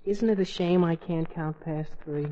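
An actor in a 1940s radio comedy playing an excitable, lovestruck teenage schemer who talks in slangy exclamations. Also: medium pitch, normal speed, highs cut off, slight background noise, close to an everyday voice. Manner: exclamatory, slangy, argues with himself. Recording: old 1940s radio broadcast recording.

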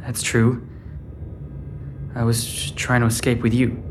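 A man's voice: mid-range voice, completely flat delivery, slow, close to an everyday voice. A young man playing a stubborn, secretive teenage boy on the run in an audio drama. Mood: evasive, reassuring. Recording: dramatic music underneath.

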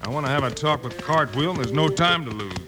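A man playing a steady, decisive Western marshal hero, commanding and plain-spoken, in old-time radio style. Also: medium pitch, quick pace, natural voice. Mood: calm, decisive.

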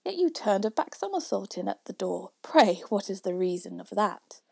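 A woman plays a nosy, impudent youth reciting storybook verse. Each question is measured and emphatic, in a husky, mellow voice with a dry sound. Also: mid-range voice, very animated, dry recording, full character voice. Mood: cheeky. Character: cheeky, inquisitive young man questioning his father.

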